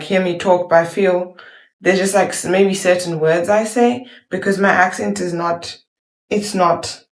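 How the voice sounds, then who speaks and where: A woman hosting a reaction video in casual, rambling chat, full of fillers and restarts, with an accent that is hard to place and a bit of allergy throat-clearing. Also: fairly low pitch, echoey room. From New Zealand. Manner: rambling, filler-heavy, self-correcting.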